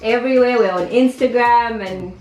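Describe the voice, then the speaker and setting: A woman's voice: a little high, moderate pace, a little mellow. A Zambian woman from Zambia talking about her culture as a guest in a casual chat. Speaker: family video creator, radio guest. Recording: echoey room with faint music.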